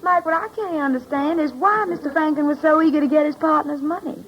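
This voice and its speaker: Actress as high, sweet detective's girl. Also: fast talker, a little hiss or hum, lightly acted. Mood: puzzled. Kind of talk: 1940s radio drama acting. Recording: muffled old radio recording.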